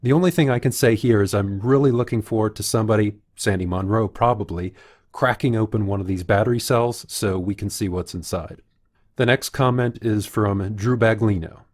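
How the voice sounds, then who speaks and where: A man with a fairly low voice gives analytical commentary. He speaks in a measured, explanatory manner, like a video commentator walking listeners through a report. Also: darkish tone. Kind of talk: analytical commentary, reading out quotes.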